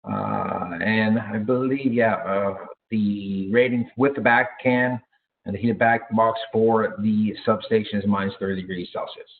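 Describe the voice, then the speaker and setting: A low-voiced man leads an informal product training session. He talks haltingly, often hesitating and correcting himself mid-phrase. The audio is thin and narrow, like a phone connection. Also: fairly even intonation, vocal fry. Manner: halting, self-correcting delivery with frequent hesitations.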